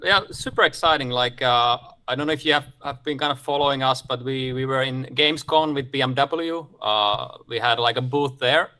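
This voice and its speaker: A man's voice: medium pitch, normal speed, few pauses, bright, forceful tone, clean tone. A man from Finland giving a casual, upbeat presentation over a video call, with many fillers. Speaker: guest speaker.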